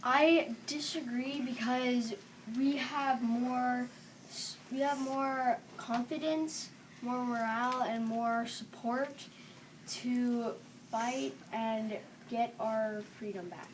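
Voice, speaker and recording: A woman's voice: on the high side, fairly even intonation, takes it slowly, a little hiss or hum, rough recording. A young female student reciting an argument in a classroom debate. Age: child or young student.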